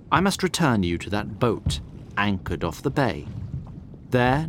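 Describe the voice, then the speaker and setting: A man narrating a scripted fantasy tale in a theatrical, deliberate and wry manner. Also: mid-range voice, noisy background.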